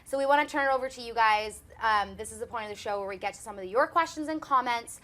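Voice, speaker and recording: A woman's voice: fairly high pitch, quick pace, a bit bright. A young woman in her twenties hosting a casual fan chat show, chatty and giggly, with lots of "um" and "like". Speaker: host.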